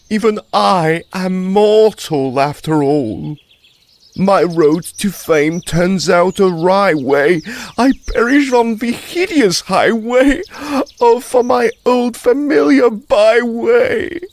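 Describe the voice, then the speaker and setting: A grand, self-important frog played by a man laments his own death in a storybook poem. The voice is pushed high and loud, drawn out slowly with tragic pomp. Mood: anguished lament. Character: dying large conceited frog, pompous and tragic.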